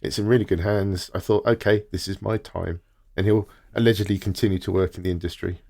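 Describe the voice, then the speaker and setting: Male podcast co-host, slightly low voice, informal news read-outs mixed with chat. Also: steady tone, fairly quick, gravelly, darkish tone.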